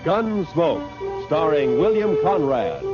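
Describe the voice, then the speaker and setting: A man's voice: on the high side, big pitch swings, slow. A man announcing a 1950s radio drama in an emphatic, declamatory style. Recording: muffled old radio broadcast. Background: loud music.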